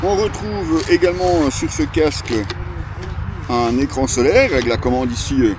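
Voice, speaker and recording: A man's voice: a little high, lively intonation, normal speed. A middle-aged man with a Belgian French accent giving a casual, unscripted, hesitant product review outdoors as a motorcycle gear reviewer. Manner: hesitant, explanatory, conversational. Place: outdoors, stopped during a motorcycle ride. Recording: clear outdoor ambience and traffic noise.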